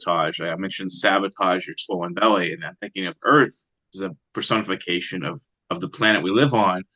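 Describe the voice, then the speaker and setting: Bright, low-pitched man, dry sound. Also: fairly quick, dry recording. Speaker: poet being interviewed. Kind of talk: conversational interview with brief poem reading.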